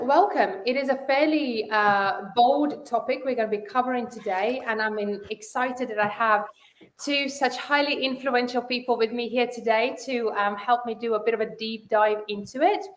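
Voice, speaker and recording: A woman's voice: a little high, normal speed, a little hiss or hum. Woman hosting a live webinar, roomy sound. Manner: friendly, many fillers and restarts. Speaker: host of online panel.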